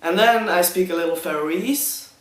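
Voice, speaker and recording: A man's voice: high-pitched, moderate pace. Hesitant Danish man, echoey room. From Denmark. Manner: hesitant, many ums and restarts, self-conscious on camera. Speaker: YouTuber answering viewer questions. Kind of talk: casual Q&A vlog. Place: room in front of a green screen.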